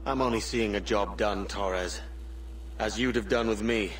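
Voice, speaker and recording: A man playing a hardened pirate captain in a video game, weary but resolute, speaking firmly and gravely. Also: on the low side, close to an everyday voice, rough recording. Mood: stern, weary. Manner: firm, grave, deliberate. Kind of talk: dramatic video game dialogue. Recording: loud humming background noise.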